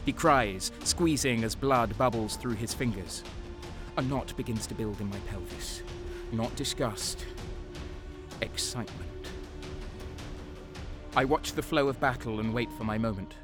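A man playing a cold, sadistic soldier who narrates a dark war story with dramatic deliberation. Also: medium pitch, unhurried, over music, lightly acted. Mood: grim, detached. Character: cold-hearted, sadistic young male soldier turned traitor. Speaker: narrator and lead character.

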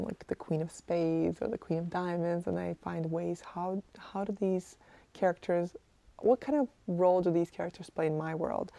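Low, mellow woman, slightly gravelly. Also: no room sound. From Kazakhstan, moved to Canada aged ten. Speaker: interview guest, artist. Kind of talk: casual conversational interview.

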